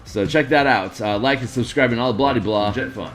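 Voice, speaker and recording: A man with a tired, gruff voice streaming casually, rambling through tabletop game design with lots of fillers. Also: medium pitch, fast talker, fairly bright tone, faint music behind. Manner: rambling, many fillers and restarts. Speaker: streamer and map artist.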